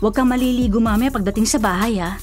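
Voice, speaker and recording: An actress playing an anxious, stubborn older sister who is easily spooked, earnest and fretful, in a Filipino radio drama. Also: female voice, fairly high pitch, quick pace, a little mellow, faint music behind, close to an everyday voice.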